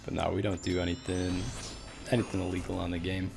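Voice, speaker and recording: Gravelly low-voiced Canadian guy. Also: monotone, quick pace, music in the background. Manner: laid-back, reads chat aloud, many fillers. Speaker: streamer. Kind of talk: casual live-stream chat while gaming.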